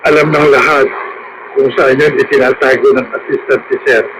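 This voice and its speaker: A man's voice: medium pitch, steady tone, normal speed, close to an everyday voice. Actor as anguished jailed Filipino father, noisy recording. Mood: earnest pleading. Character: jailed father, anguished, meek, pleading his innocence. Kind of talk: Filipino radio drama acting. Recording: noisy radio drama broadcast.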